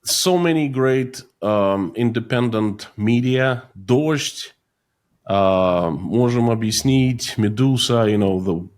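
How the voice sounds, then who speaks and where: A middle-aged man from Russia with a Russian accent, answering interview questions in a halting way with many fillers and restarts. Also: mid-range voice, a little mellow. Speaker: guest, YouTuber.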